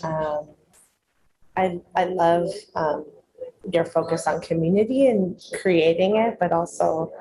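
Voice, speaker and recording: A young woman interviewing in a casual, reflective, affirming way, with slangy wording and pauses mid-thought. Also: lowish voice, warm tone, rough recording. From San Francisco Bay Area, United States.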